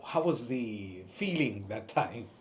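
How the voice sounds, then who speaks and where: A man's voice: medium pitch, expressive, slow pace, a little hiss or hum. A man from Nepal hosting a podcast interview, asking questions eagerly and affirming often. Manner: eager, frequent backchannel affirmations. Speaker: podcast host. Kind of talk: casual interview.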